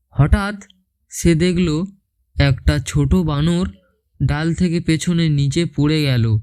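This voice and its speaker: Slow, mellow male children's storyteller. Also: on the high side, clean tone. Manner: measured, addressing small children. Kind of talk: scripted storybook narration.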